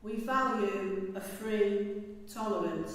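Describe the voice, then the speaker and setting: A woman's voice: mid-range voice, slow pace. A woman giving a formal public address at a memorial service, as a civic dignitary. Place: inside a large church. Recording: very echoey church hall, distant mic.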